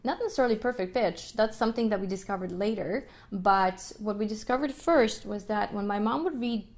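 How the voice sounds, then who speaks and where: A woman's voice: medium pitch, fairly quick. A woman in her thirties from Ukraine, a native Russian speaker, talking casually on her podcast, often laughing and adding tag questions. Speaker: podcast host and music teacher. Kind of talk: casual live podcast monologue.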